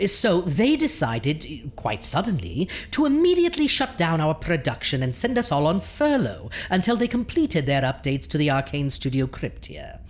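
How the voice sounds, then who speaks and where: Low-voiced campy horror hostess. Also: female voice, sing-song, normal speed, few pauses. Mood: exasperated. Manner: theatrical, arch, chatty asides. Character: campy, deliciously ghoulish horror hostess, playful and wry. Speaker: host. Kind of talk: campy horror radio drama hosting.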